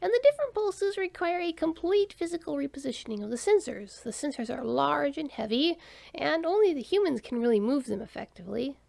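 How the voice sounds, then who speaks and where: Woman doing a jittery squeaky alien. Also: expressive, fairly quick, creaky, a little mellow, full character voice. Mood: defensive. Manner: nervous, high, defensive explaining. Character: jittery male alien scientist, small, anxious.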